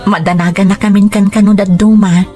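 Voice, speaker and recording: A woman's voice: mid-range voice, darkish tone, lightly acted. A woman playing a devoted young daughter in love, speaking tenderly and earnestly in a radio romance drama. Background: background music.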